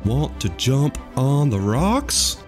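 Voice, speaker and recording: A storybook narrator voicing friendly farm animals with drawn-out calls and inviting questions. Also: mid-range voice, big pitch swings. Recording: music behind the voice.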